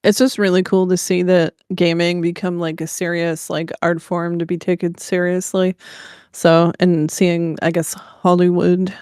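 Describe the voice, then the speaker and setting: A woman's voice: fairly low pitch, little pitch movement, measured pace, a little mellow, clear, resonant tone, no echo, clean studio sound. A woman co-hosting a podcast, chatting casually and informally about video games. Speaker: podcast co-host.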